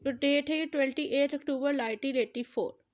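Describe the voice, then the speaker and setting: A woman's voice: high-pitched, moderate pace. A woman with a Pakistani accent giving an explanatory lecture as a teacher, mixing in English terms and repeating key points for emphasis. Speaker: law lecturer. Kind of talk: explanatory exam-prep law lecture.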